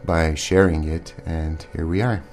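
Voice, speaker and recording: A very deep male voice answers questions as a studio guest on a radio show. He is earnest and hesitant, filling his pauses with ums. Soft music hums faintly in the background. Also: steady tone. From born in Armenia, raised in Montreal. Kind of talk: casual interview answers. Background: faint ambient music.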